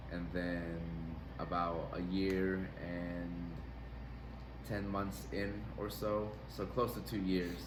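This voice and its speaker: Very deep, flat-voiced young man. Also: takes it slowly. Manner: rambling, many fillers and restarts. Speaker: grappling athlete and wrestling coach. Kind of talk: casual interview answers. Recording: echoey room with background noise.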